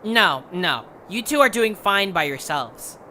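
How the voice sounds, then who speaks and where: An actor as a lively, aloof companion. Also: mid-range voice, a little slow, a little hiss or hum. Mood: smug, aloof. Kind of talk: fan audio drama acting.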